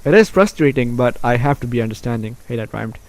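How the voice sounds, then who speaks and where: A man's voice: medium pitch, lively intonation, quick pace, darkish tone, dry recording. A man hosting a casual let's play, chatting and reading a story game's text aloud. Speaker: gaming video host. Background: game music.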